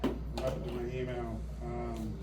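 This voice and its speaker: A man giving a casual report at a club meeting, halting with many ums and restarts, recorded far off in an echoey room. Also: mid-range voice, flat delivery, heavy background noise. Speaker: meeting presenter. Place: meeting hall.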